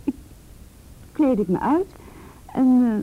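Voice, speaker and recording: A woman's voice: high-pitched, animated, takes it slowly, narrow, tinny audio, exaggerated voice. An actress playing a frightened teenage girl in a Dutch radio play, stammering and repeating words as she recounts painful memories. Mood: frightened, agitated.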